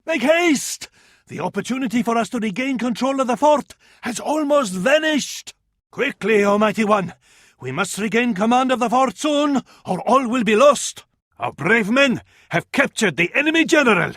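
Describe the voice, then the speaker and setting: An actor with an Arabic accent playing a fervent, scornful medieval advisor to a sultan in a video game, in a florid, declamatory style. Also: male voice, very high-pitched, animated, normal speed, fairly bright tone, no room sound, clean studio sound, exaggerated voice. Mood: scornful, grave. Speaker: battle advisor in a strategy game. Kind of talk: theatrical video game voice acting.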